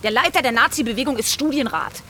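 A woman's voice: a little high, fast talker, bright, forceful tone, slight background noise. A woman playing an irritable, determined police investigator, curt and exasperated, in a radio crime drama.

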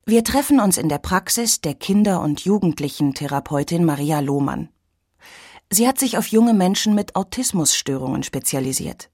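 Female radio reporter, scripted narration, lowish voice, dry close sound. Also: moderate pace, dry recording, faint music behind. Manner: clear, even reporting.

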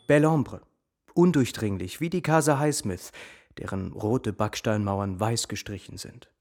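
An actor playing a novelist's alter ego, a suave, teasing gentleman criminal in his thirties, with a dry, knowing delivery. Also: fairly low pitch, unhurried, slight background noise. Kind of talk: intimate radio play dialogue. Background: faint music.

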